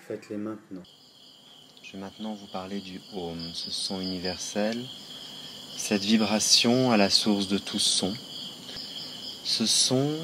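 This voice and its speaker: A man guiding a meditation session in a calm, gentle, deliberate way, with pauses between his instructions. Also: on the low side, very noisy. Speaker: yoga nidra guide. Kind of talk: guided meditation. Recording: night insects and nature sounds behind voice. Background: crickets and insects at night.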